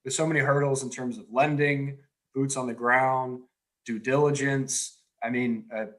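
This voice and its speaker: Relaxed man co-hosting a podcast. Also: medium pitch, normal speed. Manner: relaxed, conversational introductions and questions. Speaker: podcast co-host. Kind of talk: casual podcast conversation.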